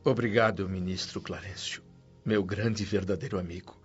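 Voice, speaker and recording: A man's voice: sits low, steady tone, normal speed, lightly acted. Sorrowful Brazilian male spirit played by an actor. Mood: grateful, pleading. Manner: sorrowful, emotive. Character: anguished dead father's spirit recounting his return home. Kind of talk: dramatic first-person radio drama narration. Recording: muffled audio with music underneath.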